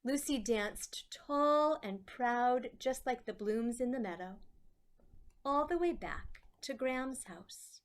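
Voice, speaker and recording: A woman reading a children's story aloud with deliberate phrasing and dramatic emphasis. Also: on the high side, animated.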